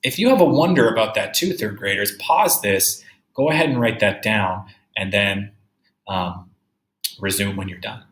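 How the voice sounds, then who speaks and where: A man teaching young children through a read-aloud, reading deliberately and musing aloud with questions for his pupils. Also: lowish voice, fairly bright tone. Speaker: third-grade teacher. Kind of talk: read-aloud lesson with think-aloud commentary. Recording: roomy, echoey indoor sound.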